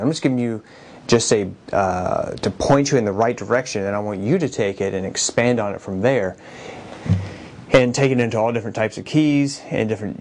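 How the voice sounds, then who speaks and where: A man giving a casual guitar lesson, explaining chord progressions and transposition in a relaxed, conversational way while he plays. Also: on the low side, slight background noise. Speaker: guitar teacher. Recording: loud guitar under the voice. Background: guitar played by the speaker.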